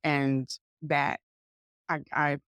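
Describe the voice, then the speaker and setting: A woman from Georgia hosting an interview podcast, animated and encouraging in casual chat. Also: low voice, very slow, smooth, no echo. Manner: animated, encouraging, lots of affirming backchannels. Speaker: podcast host.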